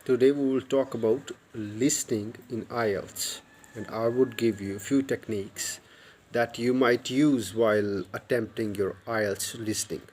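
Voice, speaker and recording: A man's voice: medium pitch, measured pace, a little mellow. A man in his thirties from Pakistan, whose first language is Urdu, speaks English with a Pakistani accent. He gives an earnest, casual lesson straight to a phone camera outdoors, often repeating and restarting words. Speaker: YouTube English tutor. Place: outdoors by a brick wall. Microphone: wired earphone mic, phone at arm's length.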